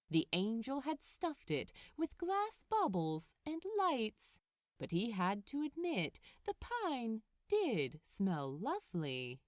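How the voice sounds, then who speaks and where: A woman reading a story aloud as narrator, with expressive, deliberate phrasing. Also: a little high, no room sound, studio quality.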